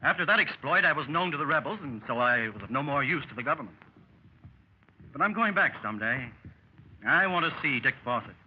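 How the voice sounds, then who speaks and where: A man's voice: on the high side, expressive, a little fast, husky. An actor playing a dashing lieutenant adventurer telling his escapade with old-fashioned exclamations, in 1930s radio style. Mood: jaunty recounting. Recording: muffled 1930s radio recording with music.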